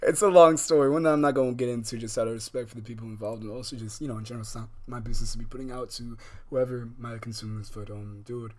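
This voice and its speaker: A man chatting casually to livestream viewers in a slangy, halting, rambling way. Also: medium pitch, steady tone, darkish tone. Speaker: livestream host.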